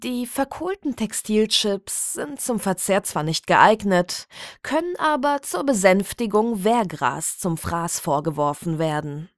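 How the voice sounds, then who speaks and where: A woman reading a scripted satirical story as an official public notice, in a formal, measured, deadpan bureaucratic manner. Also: medium pitch, no room sound, clean studio sound. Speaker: narrator of a satirical audio story.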